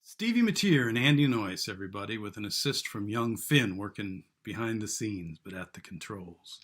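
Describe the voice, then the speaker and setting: A man's voice: fairly low pitch, expressive, moderate pace, fairly bright tone. A man from Wisconsin hosting a show and interviewing a guest in a casual chat, laughing often and restarting phrases. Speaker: show host.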